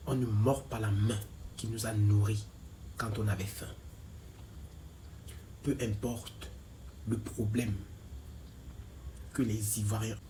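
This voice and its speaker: Low-voiced man lecturing with rhetorical questions. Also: dark tone, slight background noise, poor audio. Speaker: commentator replying to a video. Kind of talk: opinion reply video.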